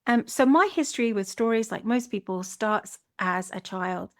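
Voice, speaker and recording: A woman's voice: medium pitch, normal speed. A Scottish woman hosting a solo podcast, speaking in an earnest, reflective way with frequent restarts. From Scotland. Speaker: podcast host.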